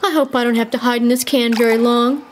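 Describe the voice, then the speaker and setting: A woman's voice: fairly high pitch, fairly even intonation, fast talker, slight background noise, lightly acted. A woman playing a naughty, cocky little boy rabbit in a children's puppet show, childlike, whiny and boastful. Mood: anxious.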